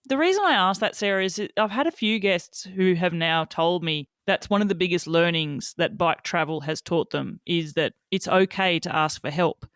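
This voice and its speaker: A man's voice: high-pitched, normal speed, few pauses, a bit bright, clear, resonant tone, dry recording, studio quality. A man hosting a podcast, asking curious, long, winding interview questions. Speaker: podcast host.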